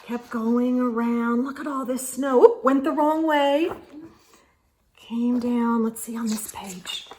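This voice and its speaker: Female children's read-aloud host. Higher voice, very measured pace, expressive delivery with hushed asides to young listeners. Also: a little hiss or hum. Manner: expressive, gasps and whispered asides.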